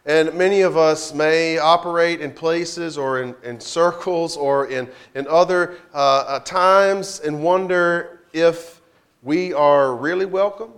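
A man preaches in the reflective style of a pastor at communion. His voice sits a little high, and he speaks slowly, halting and repeating phrases as he searches for words. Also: fairly bright tone. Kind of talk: sermon, invitation to communion.

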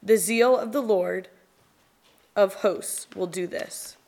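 A woman giving a solemn, expressive reading of scripture and poetry in a church service, with measured phrasing and deliberate pauses. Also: mid-range voice, slight background noise.

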